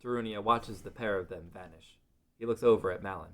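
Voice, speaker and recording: A man serves as narrator for a fantasy table read. His voice is fairly low, and he reads scripted present-tense story narration. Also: a little fast, a bit bright.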